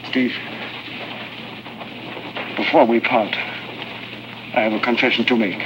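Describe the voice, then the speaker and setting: An actor playing a genial, sly European smuggler in a 1950s adventure drama, florid, courteous and playfully confiding. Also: male voice, medium pitch, fairly even intonation, slow pace, lightly acted. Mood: sheepish, confiding. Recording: dull vintage soundtrack, loud train-like noise.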